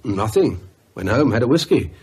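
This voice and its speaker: An actor playing a weary, sceptical professor in a radio drama, dry and sardonic in manner. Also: male voice, medium pitch, animated, normal speed, a little mellow, slightly rough. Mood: wry, resigned. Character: weary, sceptical male academic, dry and exasperated.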